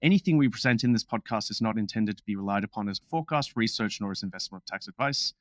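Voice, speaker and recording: A man's voice: on the low side, no echo, clean studio sound. A man hosting a podcast in a casual, upbeat way, with joking asides. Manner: upbeat, jokey, quick asides. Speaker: podcast host. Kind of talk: casual podcast chat with read disclaimer.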